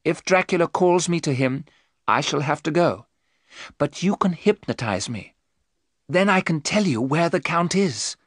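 A man voicing a brave young woman, earnest, resolute and measured, in an audiobook story. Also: a little high, animated, no room sound, clean studio sound, pitched above the performer's own voice.

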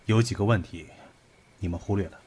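A man acting in a Chinese audio drama as a brusque, analytical young investigator who speaks in a deliberate, commanding way. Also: low-pitched, a little mellow, slight background noise, close to an everyday voice. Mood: grave, analytical.

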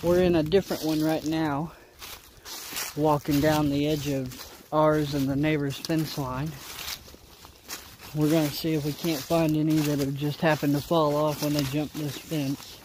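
Higher-voiced man outdoors. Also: little pitch movement, a little slow, a little mellow, poor audio. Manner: rambling, folksy, thinking aloud. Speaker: outdoor vlogger. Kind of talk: casual vlog commentary while walking. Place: outdoors in leafy winter woods. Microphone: handheld camera or phone, behind the lens. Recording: handheld outdoor recording with noise.

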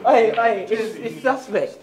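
An actor playing an excitable young man who jeers at others. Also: high voice, unhurried, crowd noise. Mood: jeering. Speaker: actor in a street skit.

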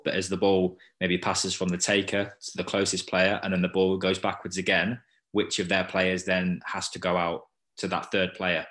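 A man's voice: sits low, a bit bright. A man who works as a set-piece analyst, explaining his method fluently with some fillers on a video call. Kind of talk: webinar explanation.

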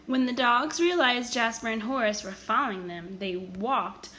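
A woman reads a children's storybook aloud with a high, bright voice. Her narration is expressive and emphatic. Noticeable background noise sits behind her. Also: normal speed. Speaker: storybook reader.

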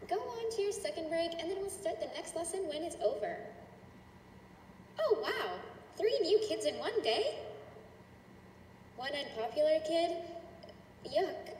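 Very high-pitched, sugary, menacing teacher played by a woman. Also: sing-song, a little slow, long pauses, a little mellow, full character voice. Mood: cheerful, condescending. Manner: sugary, gushing, condescending with veiled threats. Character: cheerful, menacing female schoolteacher in a cartoon horror game. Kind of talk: character voice acting, addressing a class. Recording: roomy, distant, echoing with noise.

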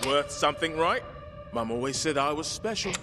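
A man's voice: a little high, expressive, normal speed, background noise, close to an everyday voice. An actor playing a cocky, wisecracking survivor in a video game, a British man with glib, breezy bravado. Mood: flippant. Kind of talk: video game cutscene acting.

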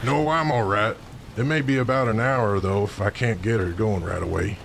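An easygoing, folksy landlord in a radio thriller. The voice is lowish and creaky, with faint noise behind it. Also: male voice, moderate pace. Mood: casual, apologetic. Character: folksy male landlord, outwardly easygoing old family acquaintance. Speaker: radio drama actor. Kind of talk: audio drama acting.

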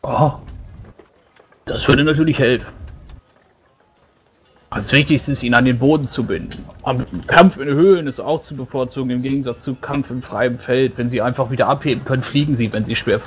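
A man with a mid-pitched voice speaks in character during a tabletop fantasy game, explaining as he goes. The recording has faint noise behind him. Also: normal speed. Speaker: tabletop role-player.

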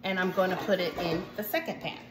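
A woman's voice: on the low side, steady tone, fast talker. Chatty American woman in an echoey kitchen. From United States, Jamaican household. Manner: chatty and warm, frequent asides to viewers. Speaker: home cooking show host. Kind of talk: casual baking tutorial. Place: home kitchen, indoors. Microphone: phone camera at arm's length. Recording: echoey kitchen with faint noise.

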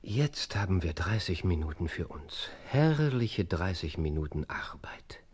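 An actor playing a brisk, commanding detective in an audio drama, curt and imperious. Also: male voice, low voice, very animated, a little mellow, breathy edge, heavily acted. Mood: keen, confident. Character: brisk, commanding, sharp-minded male detective. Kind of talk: German audio drama acting.

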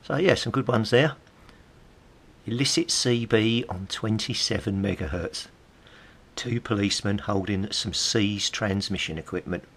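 A middle-aged Englishman with a lowish, creaky and husky voice. He gives a casual, chatty commentary, reading passages aloud and adding wry asides in an English accent. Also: unhurried, fairly bright tone. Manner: chatty, wryly amused asides. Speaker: vlogger, CB radio hobbyist. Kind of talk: casual commentary while leafing through old magazines, reading bits aloud.

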